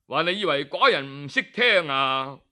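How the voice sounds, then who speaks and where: A man's voice: fairly high pitch, expressive, moderate pace, bright, forceful tone, voice pushed down. A man voicing an impatient ancient king who questions a musician irritably.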